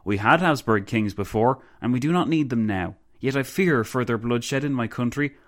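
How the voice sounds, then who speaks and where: A male narrator plays a Hungarian countess in a historical audiobook. The delivery is formal and oratorical, indignant and imploring. The pitch is lifted well above the plain narrating voice. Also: expressive, a little fast, lightly acted. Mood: indignant, pleading.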